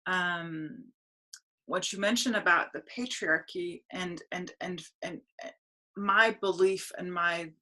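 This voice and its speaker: A woman, hosting a spiritual podcast over a video call, speaking earnestly with halting restarts. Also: lowish voice, sharp tone. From Denmark. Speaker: podcast host. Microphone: video call webcam.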